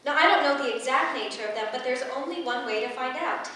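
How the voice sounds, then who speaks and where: An actress in a staged reading playing a sarcastic, blunt, quick-witted woman who is ready to argue. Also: high-pitched, pushed and bright. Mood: exasperated. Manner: sardonic, combative. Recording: echoey room, distant mic, faint noise.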